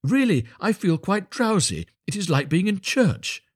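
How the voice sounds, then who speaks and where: Man putting on a high, gushing miller's wife. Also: very animated, husky, no echo, clean studio sound, full character voice. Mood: drowsy admiration. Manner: gushing, drawn-out admiration. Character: miller's wife, drowsy, admiring, comfortable woman. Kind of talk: storybook character voice.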